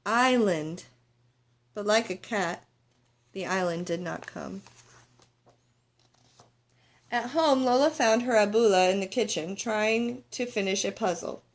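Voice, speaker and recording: A woman's voice: mid-range voice, a little slow, pauses often. Woman reading a children's storybook. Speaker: storybook reader. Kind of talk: expressive read-aloud of a children's picture book, with character voices.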